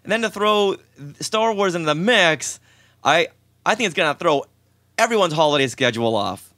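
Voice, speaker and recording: A man's voice: fairly high pitch, expressive, moderate pace, sharp tone, dry recording, clean studio sound. A man co-hosting a podcast in casual conversation. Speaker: podcast co-host.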